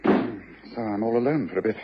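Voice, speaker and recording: An actor in a radio drama playing a courteous, commanding British gentleman detective with a brisk, precise, solicitous manner. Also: lowish voice, lightly acted. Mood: polite, composed. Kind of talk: BBC radio drama acting. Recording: noisy, muffled old radio recording.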